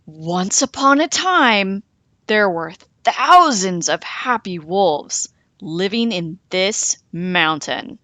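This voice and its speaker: Children's story lead: boastful, stubborn goose woman performer, very animated pitch, bold and insistent. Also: slow pace, no echo. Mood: earnest, scheming.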